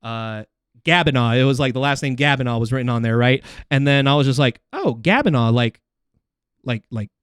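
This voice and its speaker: A young man telling casual comedic stories in a rambling, animated, slangy way with plenty of swearing. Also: mid-range voice, fairly quick, clean tone, dry recording, studio quality. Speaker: podcast guest, comedian.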